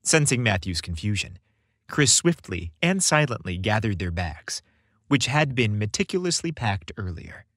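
Low, husky male story narrator. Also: sing-song, normal speed, fairly bright tone, dry recording, studio quality. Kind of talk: scripted romantic story narration.

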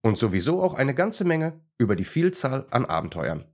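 A man's voice: mid-range voice, sing-song, moderate pace, a little mellow, pitched above the performer's own voice. A man voicing a playful, outspoken female dachshund in a children's story. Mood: eager.

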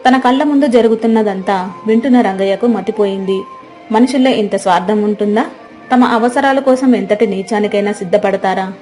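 A woman's voice: mid-range voice, warm tone. A woman narrator reading a scripted moral story.

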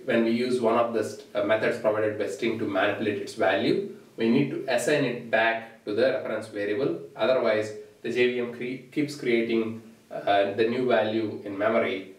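A man's voice: lowish voice, moderate pace. Man teaching in an echoey room. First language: Telugu. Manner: steady, explanatory, points at the board. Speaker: programming instructor. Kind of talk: whiteboard tutorial lecture. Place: small indoor room at a whiteboard. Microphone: camera mic at a distance. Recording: roomy echo, voice from a distance.

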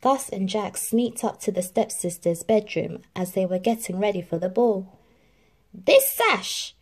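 A woman reading a children's story aloud in an expressive, deliberate narrating style. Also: mid-range voice. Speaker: storybook reader.

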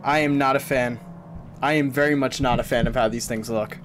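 A man's voice: medium pitch, fairly even intonation, brisk, a bit bright, a little hiss or hum. A man in his twenties giving casual, wry, jokey commentary on a horror game as he chats to viewers. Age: 20s. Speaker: gaming streamer. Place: small indoor streaming room. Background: game music.

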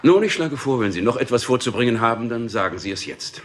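A man's voice: mid-range voice, lively intonation, fast talker, natural voice. An actor dubbing a cool, curt male studio executive in a German crime drama.

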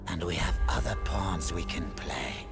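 An actor playing a menacing older inquisitor in a game cutscene, speaking in slow, cold whispers. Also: male voice, low-pitched, vocal fry, loud music behind the voice. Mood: cold menace. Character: menacing old male grand inquisitor.

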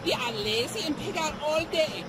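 An actress voicing a lazy, cheeky little farm pig in a cartoonish video game. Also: female voice, high voice, animated, moderate pace, over a noisy crowd. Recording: loud music and hubbub behind.

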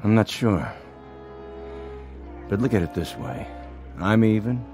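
An actor playing a cool, hard-nosed gambler in a radio drama, terse and unruffled. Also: male voice, fairly low pitch, expressive, slow, dark tone. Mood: gruffly merciful. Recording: radio drama with music underneath.

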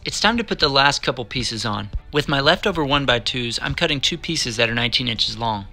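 A man narrating a woodworking build over faint background music. His delivery is plain and measured, walking through each cut and measurement as a practiced how-to voice-over. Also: medium pitch, sharp tone. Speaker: DIY woodworking instructor. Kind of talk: scripted step-by-step voice-over narration.

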